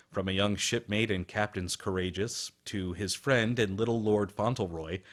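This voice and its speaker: A man hosting a radio program with scripted documentary narration. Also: lowish voice.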